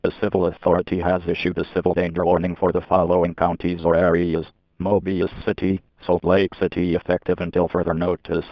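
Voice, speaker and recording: A man's voice: sits low, monotone, brisk, dry recording. A man's voice reading out a scripted emergency alert as an announcer. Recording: dry, dull lo-fi alert audio.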